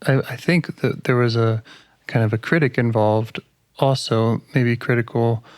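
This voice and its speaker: A man leading a live therapy session over a call, gentle and calm, pausing to reflect as he speaks. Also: mid-range voice, no echo, clean studio sound. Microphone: call audio.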